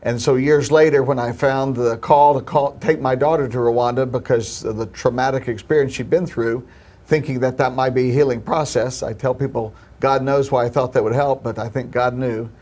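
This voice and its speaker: A man's voice: mid-range voice, fairly even intonation, moderate pace, a little mellow. Earnest film producer man. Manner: earnest, hopeful, some restarts. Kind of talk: interview answers.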